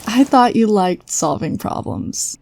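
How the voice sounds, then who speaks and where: A woman's voice: medium pitch, animated, takes it slowly, creaky, a little mellow, heavily acted. An actress playing a loyal female guardian who speaks in a dry, teasing but supportive way. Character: loyal wry female guardian, best friend. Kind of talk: audio drama acting.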